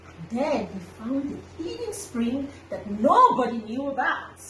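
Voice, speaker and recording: A woman hosting a children's story time, telling an animal tale with dramatic, deliberate emphasis. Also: high-pitched, big pitch swings, pushed and bright. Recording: echoey room, distant mic, faint noise.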